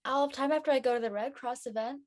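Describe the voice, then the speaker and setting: A woman's voice: high-pitched, quick pace, darkish tone, close to an everyday voice. A woman playing a responsible, peacemaking teenage schoolgirl in a drama read. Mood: matter-of-fact. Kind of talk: audio drama table read acting.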